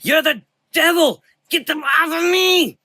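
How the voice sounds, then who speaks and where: A man playing a cowardly male villain in a gothic horror audio drama, wailing in a shrill, desperate and frantic way. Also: unhurried, bright, forceful tone, no room sound, full character voice. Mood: shrill panic.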